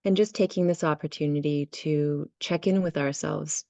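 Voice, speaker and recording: A woman leading a guided visualization and meditation, speaking gently and unhurriedly in short phrases with many pauses. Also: sits low, fairly even intonation, creaky, no echo. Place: online video session.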